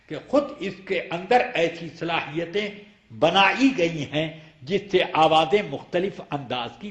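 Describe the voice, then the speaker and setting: An echoey recording carries a man's high-pitched voice as he preaches. He speaks slowly, in the manner of an Islamic scholar explaining a ruling. His delivery is emphatic and argumentative, built on rhetorical questions. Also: fairly bright tone. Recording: echoey room sound.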